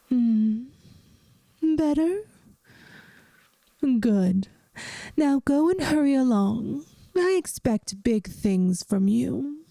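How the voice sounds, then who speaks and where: A woman voicing a kindly, wry vampire math teacher in an ASMR audio roleplay, speaking gently and soothingly straight to the listener. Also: a little high, no echo, full character voice. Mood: tender, encouraging.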